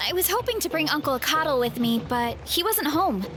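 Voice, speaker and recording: A woman's voice: sits high, lively intonation, fairly quick. A woman playing a sweet, easygoing young farm girl in a video game, chatting informally as a friendly guide. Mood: cheerful. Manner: chatty, good-natured, informal. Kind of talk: video game character acting. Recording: music playing behind.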